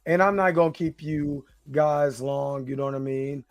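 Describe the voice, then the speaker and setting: A man with a slightly hoarse voice hosting a livestream, talking casually in an informal, rambling, hesitant way. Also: on the high side, steady tone, normal speed, no room sound. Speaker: host of a livestream reaction show.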